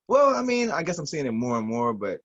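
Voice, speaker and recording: A man hosting a casual podcast chat, relaxed and easygoing in his reactions. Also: a little high, a little fast. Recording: dull lo-fi sound.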